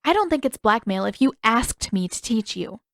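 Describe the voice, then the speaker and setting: A stubborn teenage heroine of a fantasy story, played by a woman narrator, pitched fairly high. She is spirited and quick to get defensive, with an emphatic, exasperated and wry edge. It is recorded very dry. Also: very animated, gravelly, dry recording.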